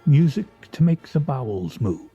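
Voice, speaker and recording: An actor playing a pompous, sardonic husband in a radio play, speaking in a wry, theatrical, mock-formal way. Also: male voice, mid-range voice, very animated, normal speed, dark tone, muffled recording, faint music behind. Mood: dry teasing. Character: pompous, sardonic husband at a weekly scrabble game.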